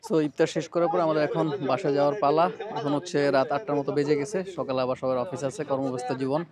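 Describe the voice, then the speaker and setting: A man's voice: a little high, flat delivery, racing, over a noisy crowd. A man with a Bangladeshi accent narrating a casual vlog informally, dropping English words into his talk. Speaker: vlogger.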